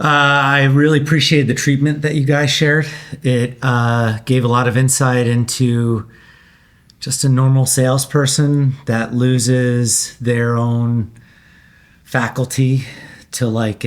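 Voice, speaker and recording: A man, an actor, reflecting casually on a scene in a halting way, searching for his words. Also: mid-range voice. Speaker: actor in a table read.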